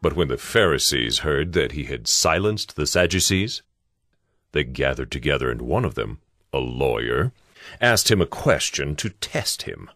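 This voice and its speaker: A man narrating a dramatized Bible story in a solemn, measured, scripted style. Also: rumbling low, very animated, a bit bright, no echo, clean studio sound, heavily acted.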